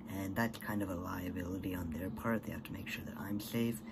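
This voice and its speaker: A young man talking casually to a webcam in his bedroom, soft-spoken with many fillers, often trailing off into breathy whispers. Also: deep voice, fairly even intonation, fairly quick, very noisy. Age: young adult. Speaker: vlogger. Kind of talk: casual personal vlog. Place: small bedroom indoors.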